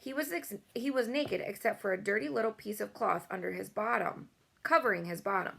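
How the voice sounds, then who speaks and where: A woman's voice: medium pitch, brisk, vocal fry, a bit bright, slightly rough. A woman reading a children's storybook aloud as host, with casual asides.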